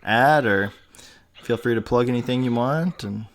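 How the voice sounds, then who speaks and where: Casual man hosting, creaky voice. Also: medium pitch, moderate pace. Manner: informal, encouraging. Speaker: podcast host. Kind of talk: casual interview chat.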